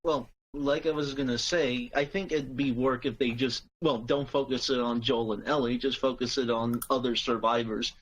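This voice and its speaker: A man with a medium-pitched voice. He speaks casually, as a panelist in an informal group discussion. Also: steady tone, moderate pace, few pauses, fairly bright tone, dull audio, slight background noise. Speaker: podcast panelist.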